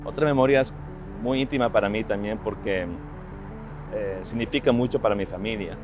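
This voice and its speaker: A man in his thirties, born in Ecuador, speaking earnestly about his work and family in a personal interview, often restarting his phrases. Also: fairly low pitch. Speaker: social media strategist. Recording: clear music bed behind voice. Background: music.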